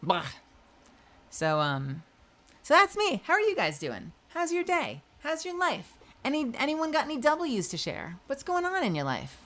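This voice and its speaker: Nasal, lively-voiced woman streamer. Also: high voice, unhurried. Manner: chatty and loose, frequent swearing and laughs. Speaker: livestream host. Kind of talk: casual live-stream chat, reading out chat.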